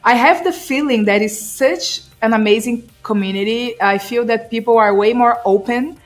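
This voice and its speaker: A woman in her forties hosting a podcast interview, speaking eagerly with slightly unusual phrasing into a studio mic with a pop filter. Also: mid-range voice. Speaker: podcast host. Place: home studio, indoors. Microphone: studio mic with pop filter and headphones.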